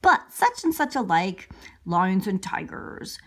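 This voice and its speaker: A woman voicing a kindly, courteous storybook gentleman who eagerly explains things. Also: medium pitch, big pitch swings, takes it slowly, voice pushed down, full character voice. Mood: genial.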